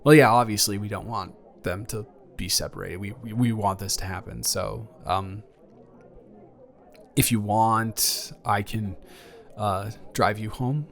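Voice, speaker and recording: A man's voice: fairly low pitch, fairly even intonation, a little slow, gravelly, fairly bright tone. A young man playing an awkward, caring college-age ex-boyfriend in an improvised intimate audio roleplay, earnest and stammering as he rambles. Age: young adult.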